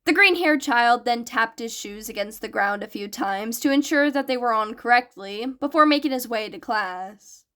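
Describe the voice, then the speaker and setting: A woman's voice: fairly high pitch, animated, moderate pace, hardly any pauses, pushed and bright. A woman reading a scripted fan fiction story aloud as its narrator. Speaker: podfic narrator.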